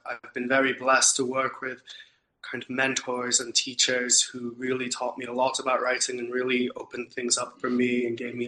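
A man's voice: mid-range voice, bright, forceful tone, rough recording. A young man from Turkey, a podcast guest, talking thoughtfully and earnestly about his views, with frequent ums and restarts. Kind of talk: casual interview talk.

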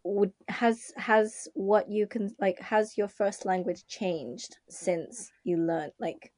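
A woman hosting a relaxed conversation, chatty and quick to laugh, with "like" scattered through her talk. Her first language was Japanese. The sound is dry and close, with almost no room echo. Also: mid-range voice. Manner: chatty, many "like" fillers, laughs often. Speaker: podcast host. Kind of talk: casual chat.

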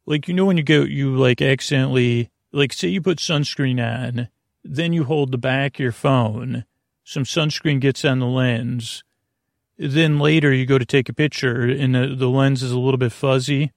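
Creaky-voiced man rambling soothingly. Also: mid-range voice, fairly even intonation, normal speed, dry recording, studio quality. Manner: meandering, mumbling, repetitive, with tangents; lulling, soothing delivery. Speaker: podcast host. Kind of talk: rambling bedtime sleep podcast introduction.

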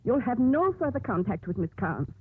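A woman's voice: a little high, big pitch swings, moderate pace, slightly rough, full character voice. An actress playing a stern, authoritative Mother Superior in a 1950s radio drama. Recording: muffled old radio broadcast with music.

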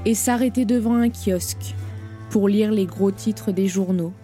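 A woman narrating an audio drama in a measured, grave storytelling manner. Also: medium pitch, dark tone, faint music behind.

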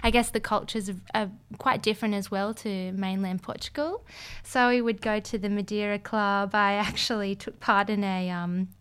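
A young woman chats as a podcast guest, her voice smooth and clear at a middle pitch. She talks loosely, with plenty of fillers. She laughs easily as she goes. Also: fairly even intonation, moderate pace, fairly bright tone. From Australia. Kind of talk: casual chat.

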